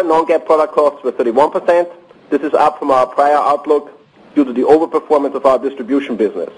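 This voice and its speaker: A man reading prepared financial results on a company conference call, in a measured, formal, businesslike way. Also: medium pitch, darkish tone, low-quality recording.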